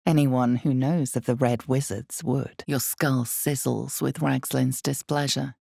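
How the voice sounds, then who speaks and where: Very deep, gravelly, ominous fantasy narrator. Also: female voice, no echo, studio quality, full character voice. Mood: knowing. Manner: measured, deliberate, dramatic, hushed emphasis. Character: omniscient female narrator, ominous, grave, slyly knowing. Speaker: game narrator. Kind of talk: scripted dramatic narration of a fantasy role-playing game.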